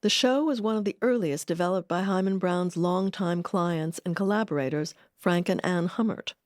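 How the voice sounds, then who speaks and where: A woman's voice: lowish voice, normal speed, darkish tone, no room sound, studio quality. A woman reading scripted documentary narration with wry personal asides.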